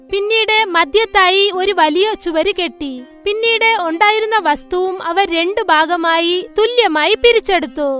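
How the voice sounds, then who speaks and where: Woman reading a kids story, really high voice, soft music behind. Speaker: narrator. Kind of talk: scripted children's story narration. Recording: faint music under the voice.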